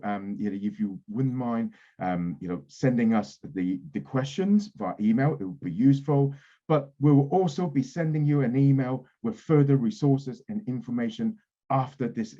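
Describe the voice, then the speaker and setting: Lively-pitched man hosting a webinar, dull audio. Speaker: webinar host. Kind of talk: hosting an online panel webinar.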